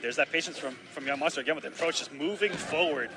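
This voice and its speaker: A man doing live play-by-play commentary on a fighting game, excitable and bursting loud on big plays, his voice slightly raspy from long casting. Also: a little high, fast talker, noisy background. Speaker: esports commentator.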